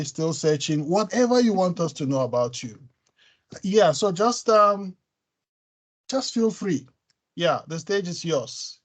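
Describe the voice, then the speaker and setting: A man lecturer running an online class introduction, asking teasing, jokey questions. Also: fairly high pitch. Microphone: video call.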